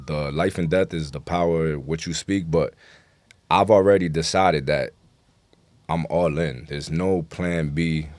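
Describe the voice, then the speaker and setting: Deep-voiced New York man, slangy. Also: darkish tone, dry recording. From New York City. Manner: slangy, profanity-laced, frequent "you know". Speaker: rapper, interview guest. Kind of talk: casual podcast interview talk.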